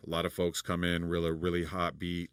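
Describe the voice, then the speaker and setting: Deep-voiced man explaining studio recording. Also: fairly quick, smooth, no echo. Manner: relaxed, rambling, many fillers and restarts. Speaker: recording engineer and producer. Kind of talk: casual studio tutorial.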